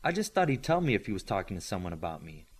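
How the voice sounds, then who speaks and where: A man's voice: low-pitched, very animated, rapid-fire, breathy edge, full character voice. A man playing a polite, reserved young boy in an audiobook, speaking in a plain, understated way. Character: polite, matter-of-fact, reserved speedster boy. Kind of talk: audiobook character acting.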